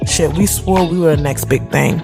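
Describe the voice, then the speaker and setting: Sassy actress as a thirty-something woman telling her story, lowish voice, slangy, music behind. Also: moderate pace, gravelly. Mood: fond, nostalgic. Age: 30s. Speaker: narrator and lead of an audio drama series. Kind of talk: first-person storytelling narration, conversational urban drama.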